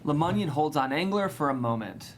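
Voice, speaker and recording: A narrator reading scripted stage directions at a table read in a deliberate, descriptive way. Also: medium pitch, expressive, slight background noise.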